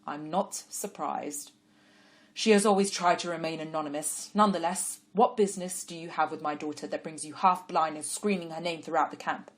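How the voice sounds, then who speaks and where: A woman voicing a dignified older father who speaks with measured, kindly authority. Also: low-pitched, fairly even intonation, close to an everyday voice. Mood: pleased.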